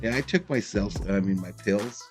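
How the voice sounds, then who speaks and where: A man's voice: fairly low pitch, moderate pace, music in the background. A man hosting a live sports stream, casually calling plays and chatting with viewers in an informal, laid-back way. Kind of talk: casual fan play-by-play and chat reading.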